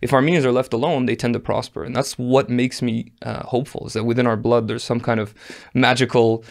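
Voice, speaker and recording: A man hosting a podcast interview, quick and opinionated, asking open questions. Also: medium pitch, flat delivery, gravelly. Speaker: podcast host. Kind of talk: casual interview talk.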